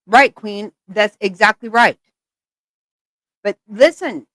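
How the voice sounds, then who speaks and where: A woman's voice: mid-range voice, takes it slowly, fairly bright tone, no room sound. A woman giving blunt, exasperated reaction commentary, swearing often. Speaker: channel host reacting to clips.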